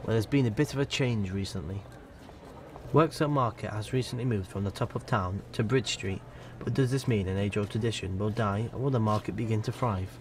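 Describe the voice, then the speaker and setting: A man's voice: lowish voice, a little fast, slight background noise. A man reading a scripted voice-over as a reporter for a local newsreel. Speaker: news reporter narrating voice-over.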